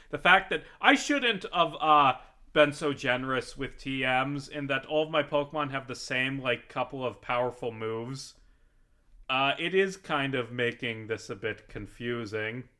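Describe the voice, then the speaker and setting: A man commentates on his video game in a casual, rambling way. His voice is bright and forward, at an average pitch, and he takes his time, thinking out loud and talking to the game as he goes, swearing casually.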